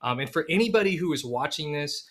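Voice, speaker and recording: A man's voice: a little high, lively intonation, quick pace, fairly bright tone, a little hiss or hum. A man speaking casually and enthusiastically as a film director guest in an informal interview.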